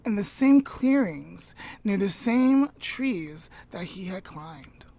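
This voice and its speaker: Slow soft-spoken woman storyteller. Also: medium pitch, lively intonation, no echo. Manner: unhurried, drops to a soft near-whisper at line ends; chatty asides with self-corrections and fillers. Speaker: podcast co-host. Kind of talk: casual storytelling to a co-host.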